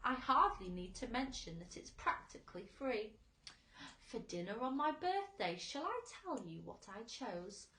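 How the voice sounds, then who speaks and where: A boastful, exuberant centipede from a storybook, acted out by a woman, relishes each rhythmic phrase. The voice is high and animated, heard in an echoey room. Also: measured pace, exaggerated voice. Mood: boastful, relishing. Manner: declamatory, rhythmic verse delivery. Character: boastful, exuberant, greedy centipede reciting a food song.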